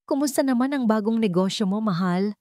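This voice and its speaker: A woman's voice: on the high side, fairly quick, mellow, dry recording, clean studio sound, voice pushed up, close to an everyday voice. A woman voicing a scornful, complaining daughter-in-law with a cutting tongue, in an audio story. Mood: sweet.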